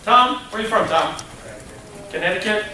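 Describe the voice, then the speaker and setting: A man's voice: high voice, moderate pace, background noise. A man teaching a college hospitality class, animatedly acting out business deals with his students. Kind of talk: interactive classroom lecture. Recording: echoey classroom from far off.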